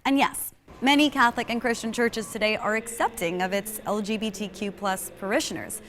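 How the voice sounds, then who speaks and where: A woman's voice: medium pitch, fairly even intonation, fairly quick, fairly bright tone, a little hiss or hum. A woman host delivering scripted narration in a sassy, wry way.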